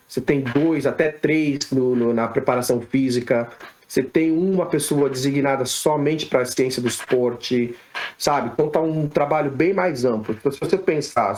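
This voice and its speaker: A Brazilian man speaks as a guest in a casual podcast interview, with a voice of middling pitch. He talks in a loose, conversational flow, often tacking a quick tag question onto his sentences and restarting his words mid-thought. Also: darkish tone. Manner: conversational, frequent "né?" tags and restarts. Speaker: physiotherapist guest.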